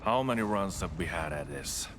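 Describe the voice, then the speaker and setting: A man playing a weary, exasperated lab researcher in a video game, dryly complaining, with loud machinery ambience around him. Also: sits low, animated, moderate pace, a little hiss or hum.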